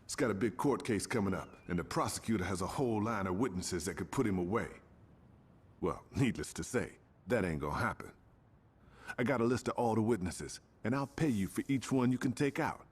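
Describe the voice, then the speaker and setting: Male criminal fixer for a game. Cool, practical, lowish husky voice, street talk. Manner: matter-of-fact, street slang. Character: cold, businesslike male gangster fixer. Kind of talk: video game cutscene acting.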